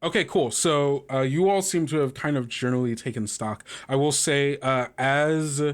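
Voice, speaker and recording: A man running a tabletop roleplaying game as game master, improvising narration in a halting, conversational way. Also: mid-range voice.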